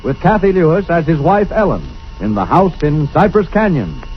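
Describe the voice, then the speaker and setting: A man's voice: fairly high pitch, sing-song, moderate pace. A man announcing a 1940s radio show in a dramatic, declamatory manner. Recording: dull old radio broadcast, loud music.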